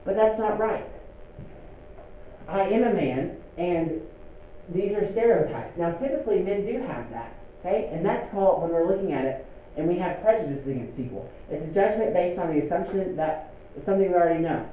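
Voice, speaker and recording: A young male teacher gives a casual classroom lecture, often pausing to ask "okay?". His voice sits a bit high for a man. The sound is thin and phone-like, with heavy room echo and a faint hiss. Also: lively intonation. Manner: conversational, checks in with "okay?".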